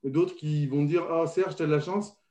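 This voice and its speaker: A man's voice: on the high side, fairly even intonation, normal speed, mellow. A man from France speaking as a guest on a radio panel, hesitant and full of restarts and fillers. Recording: dull roomy radio recording.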